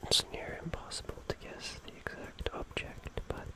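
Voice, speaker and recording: An ASMR host speaking in a soft, breathy, unvoiced whisper, gently repeating phrases in a roleplay style. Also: moderate pace.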